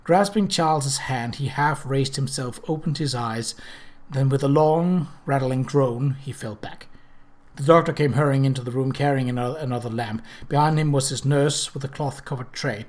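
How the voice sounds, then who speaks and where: A man reading a scripted story aloud as an audiobook narrator, with an expressive, dramatic delivery. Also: mid-range voice, moderate pace. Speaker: audiobook reader.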